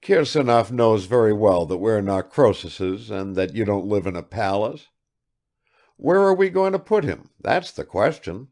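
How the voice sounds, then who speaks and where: A man's voice: on the low side, lively intonation, a little slow, darkish tone. A man reading aloud and voicing a blunt, nonchalant young man with a curt, dismissive manner.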